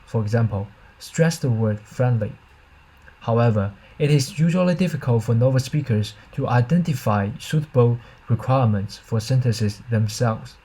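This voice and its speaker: A man reading a scripted academic presentation as a researcher, in a formal, measured manner. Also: medium pitch, darkish tone, husky, rough recording. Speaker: researcher presenting own system.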